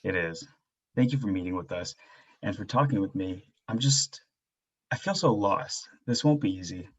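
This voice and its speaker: A man playing a stressed stay-at-home father in a staged drama reading, earnest, terse and quietly frustrated. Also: animated, moderate pace, husky. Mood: weary, lost. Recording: dull, low-fidelity microphone sound.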